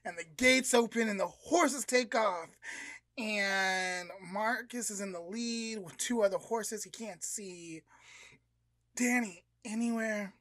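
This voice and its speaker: A man co-hosting a podcast, telling a long story in a casual, animated way, full of "like" and acting out voices. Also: sits high, slow, no room sound. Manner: animated, filler-heavy, acts out voices. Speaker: podcast co-host.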